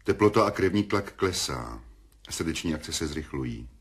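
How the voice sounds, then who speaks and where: An actor playing a blunt, clinical surgeon with a husky voice, matter-of-fact in a radio play. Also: male voice, a bass voice, lively intonation, heavily acted.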